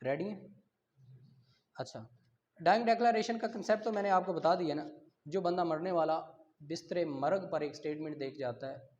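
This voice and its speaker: A man's voice: a little high, darkish tone, highs cut off, a little hiss or hum. A man with a Pakistani accent teaching in a classroom lecture style, reading out and explaining, repeating key terms and checking that listeners follow. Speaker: law teacher.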